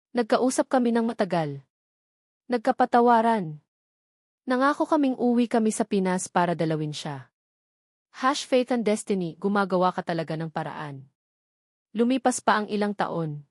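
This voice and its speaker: A woman narrating a first-person love story in a smooth, even and heartfelt way, then thanking her listeners. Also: mid-range voice, dry recording, clean studio sound.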